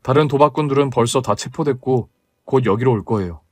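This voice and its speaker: A man voicing a grown son who speaks in a soft, lowered voice, earnest and apologetic toward his mother. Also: fairly quick, no room sound, lightly acted.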